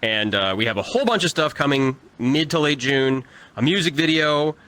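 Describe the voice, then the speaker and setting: A man voice actor in casual panel chat, quick and jokey, a little self-deprecating. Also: a little high, expressive, fairly bright tone. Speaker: voice actor guest.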